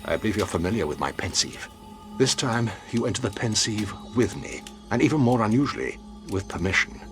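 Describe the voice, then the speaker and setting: Male actor as aged wizard mentor, low and solemn, expressive swings in pitch, music underneath. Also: close to an everyday voice. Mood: grave, measured. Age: older. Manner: measured, deliberate, formal. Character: wise, grave old male wizard headmaster. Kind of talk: scripted cinematic game acting.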